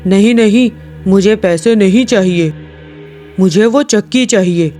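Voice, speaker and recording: An actor playing a poor, earnest woodcutter in a cartoon story, with music behind. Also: male voice, high-pitched, measured pace, darkish tone. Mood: nervous, insistent.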